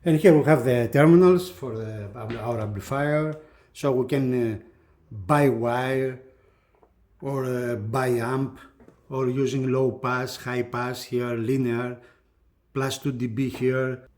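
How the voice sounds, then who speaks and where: A man who is not a native English speaker presents a product unboxing casually, speaking in short, halting phrases. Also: mid-range voice, steady tone, a little mellow. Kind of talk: casual unboxing demonstration talk.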